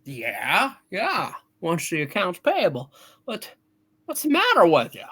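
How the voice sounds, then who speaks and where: An earnest, anxious young businessman in a radio play, played by a woman. The voice sits on the low side for a woman and moves up and down a lot. It comes across as harried, urgent and determined. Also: wildly swooping pitch, slow, fairly bright tone, breathy edge, heavily acted. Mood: alarmed. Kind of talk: hammed-up radio play reading.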